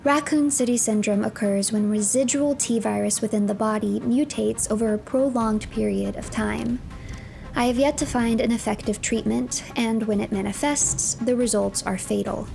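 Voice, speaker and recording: A woman narrating a game story as a streamer, reading files aloud in a measured, formal way. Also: on the high side, fairly even intonation, vocal fry, darkish tone, soft background music.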